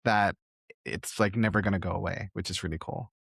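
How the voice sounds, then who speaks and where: A man with a fairly low voice hosts a conversational interview podcast. He speaks earnestly and winds his way into his questions. The sound is close and dry, with little room around it. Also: steady tone, brisk, creaky, fairly bright tone, breathy edge, dry recording. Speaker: podcast host.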